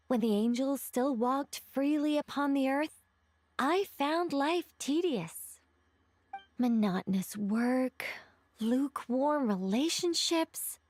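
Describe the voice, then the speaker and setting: A woman playing an ancient female angel in a video game, eloquent and wistful, speaking in a measured storytelling way. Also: a little high, a little mellow, no room sound.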